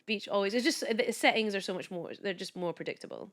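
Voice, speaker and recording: A woman's voice: medium pitch, fast talker, a bit bright. A woman in her late thirties from Kuwait, a podcast guest and wellness coach chatting casually and animatedly, with lots of "like"s and restarts. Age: late 30s. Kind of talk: casual chat.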